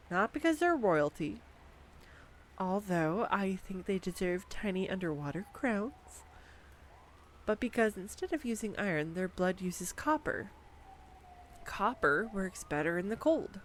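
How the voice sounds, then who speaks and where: Playful, animated young woman chatting. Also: medium pitch, measured pace, long pauses, slight background noise. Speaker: voice actor playing a girlfriend. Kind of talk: scripted audio roleplay monologue. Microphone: studio condenser mic.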